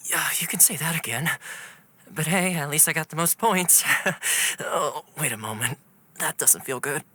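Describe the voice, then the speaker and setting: A man's voice: fairly high pitch, normal speed, sharp tone. An actor playing an easygoing, playful young male retainer in an anime-style video game, speaking in an upbeat, chatty, theatrical way. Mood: queasy. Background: faint music.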